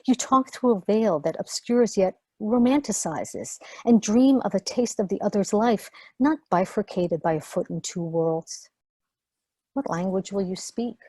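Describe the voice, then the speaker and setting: A woman reading her own lyrical prose aloud over a video call in a measured, poetic cadence. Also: mid-range voice, mellow, breathy edge, no echo. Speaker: author reading her work.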